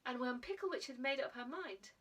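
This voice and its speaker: A woman reading her own children's book aloud, expressive and playful, sometimes dropping to a near-whisper. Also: high voice, a little fast, recorded from a distance, slight background noise. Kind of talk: children's storybook narration.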